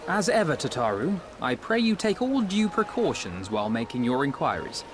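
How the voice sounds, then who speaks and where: An actor playing an erudite, courteous gentleman in a video game, with formal, precise phrasing. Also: mid-range voice, big pitch swings, moderate pace, noisy background, natural voice. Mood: polite, dry. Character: courteous, erudite, wordy young gentleman scholar. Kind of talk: dramatic video game cutscene acting.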